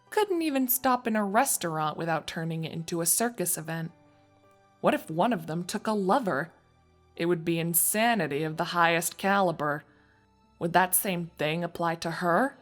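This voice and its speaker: A woman narrating a scripted story as its narrator, reading in prose between characters' dialogue. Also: lowish voice.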